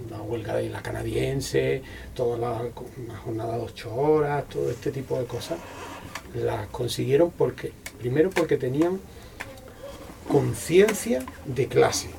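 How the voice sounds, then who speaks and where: A man's voice: mid-range voice, gravelly. A man giving an unscripted answer as a speaker at a book talk. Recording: distant mic, faint room noise.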